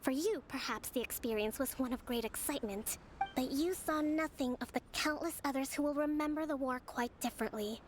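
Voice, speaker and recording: An actress playing a gentle, dignified young priestess and military leader in a video game, speaking in formal, measured phrases. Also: female voice, sits high, noisy background, close to an everyday voice. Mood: earnest, measured. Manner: formal, measured, composed diction. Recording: game music behind the voice.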